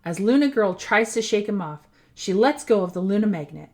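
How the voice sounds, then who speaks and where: A woman reading a storybook aloud for young children in an animated, expressive storytelling style. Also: medium pitch, brisk. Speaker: children's book reader.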